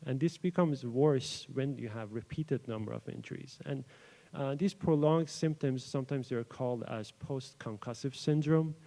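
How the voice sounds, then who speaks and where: A young man from Iran whose first language is Persian, a doctoral researcher giving an informal academic talk to a live audience in an event room, pausing often with fillers and restarts. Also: medium pitch, gravelly, mellow. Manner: hesitant, frequent fillers and restarts. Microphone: microphone adjusted at the podium.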